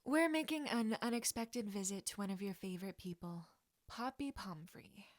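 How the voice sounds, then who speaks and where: A woman voicing a confident teenage witch girl in a story, bossy but caring and quick-witted. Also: medium pitch, natural voice. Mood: wry. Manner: firm, casual, non-judgmental.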